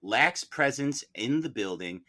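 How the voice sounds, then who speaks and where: A man's voice: medium pitch, lively intonation, unhurried, sharp tone. A man arguing as defense counsel in a mock courtroom debate, argumentative and leaning on repeated phrases for emphasis.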